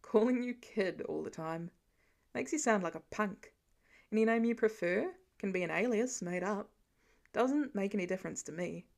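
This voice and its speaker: Woman voicing a casually gruff, fatherly man. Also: medium pitch, lively intonation, normal speed. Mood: soft, casual.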